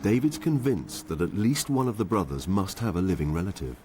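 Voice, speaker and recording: A man narrating a documentary in a measured, even scripted delivery. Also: low voice, over music.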